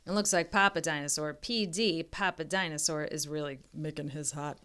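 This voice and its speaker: A woman's voice: low-pitched, fairly even intonation, moderate pace, a bit bright, clean tone. A woman reading a picture book aloud expressively, stopping for teacherly asides to the listener. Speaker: reading tutor.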